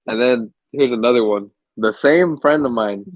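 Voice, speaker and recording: A man's voice: medium pitch, moderate pace, dry recording, muffled recording. Stuffy young man telling stories. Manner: laid-back, swears freely, rambling storyteller. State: stuffy, under the weather. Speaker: podcast co-host. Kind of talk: casual chat.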